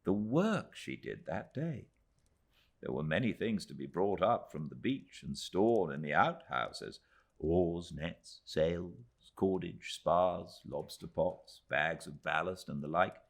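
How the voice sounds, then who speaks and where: British man, deep voice, very animated intonation, audiobook narrator, literary storytelling, measured dramatic phrasing. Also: creaky. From Britain.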